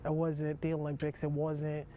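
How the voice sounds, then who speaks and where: A man's voice: on the high side, monotone, normal speed, warm tone, slight background noise. A young man from Haiti speaking earnestly and reflectively in an interview. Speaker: interviewee, athlete.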